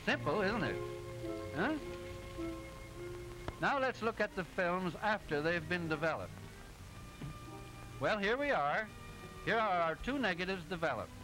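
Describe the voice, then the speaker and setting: A man's voice: high-pitched, sing-song, rough recording. A man playing a genial professor who narrates an educational 1920s cartoon in a didactic, precise way. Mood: explaining. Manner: didactic, precise, measured explaining. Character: genial male professor explaining machines in a cartoon. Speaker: narrator, lecturer. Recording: old 1920s film soundtrack, music behind.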